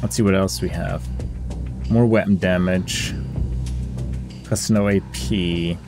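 A man's voice: low-pitched, steady tone, takes it slowly. A man giving casual game commentary, musing and weighing his options aloud in a hesitant way. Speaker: gaming video creator. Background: game music.

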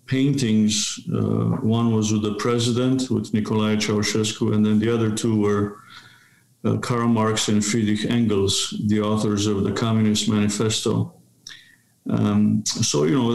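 A man, telling his life story in measured phrases on a video call. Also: fairly low pitch, creaky, a little mellow. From Romania. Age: 50s. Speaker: guest, seminary professor and pastor. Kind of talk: autobiographical interview answer. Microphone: webcam video call. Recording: roomy, echoing video-call sound.

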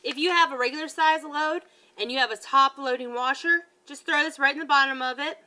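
A woman's voice: high voice, brisk, pushed and bright. A woman in her thirties chatting casually through a product review, tripping over words now and then, recorded at home in a living room on a close webcam. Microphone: webcam at close range.

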